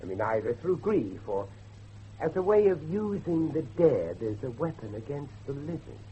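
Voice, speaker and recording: An actor playing a grave, grieving father who believes in devilry, speaking emphatically with dramatic pauses in a horror drama. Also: medium pitch, sing-song, mellow, breathy edge, muffled recording. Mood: grave, brooding. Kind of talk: dramatic horror dialogue acting.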